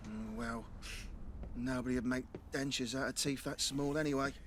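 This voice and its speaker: An actor playing an uneasy, deferential looter's underling who talks hesitantly in a horror audio drama. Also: male voice, medium pitch, fairly even intonation, moderate pace, slight background noise, lightly acted. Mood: uneasy, morbid.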